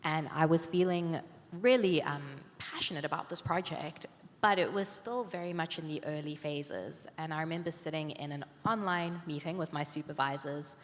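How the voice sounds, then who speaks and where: A woman teacher speaking on a panel, telling a personal story in a reflective, measured way. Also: sits low. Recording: long reverb of a conference hall.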